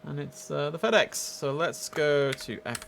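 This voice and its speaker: A man's voice: on the high side, a little slow, fairly bright tone. A British man giving casual, chatty commentary as host of a game livestream, speaking informally and directly to his viewers. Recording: clear background noise and ambience.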